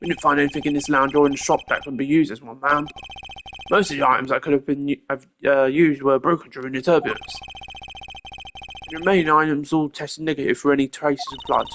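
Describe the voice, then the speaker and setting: Streamer doing a dutiful game police officer, deferential, hesitant, mid-pitched, plain reporting, background music. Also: steady tone, a little fast, a bit bright, a little hiss or hum, natural voice.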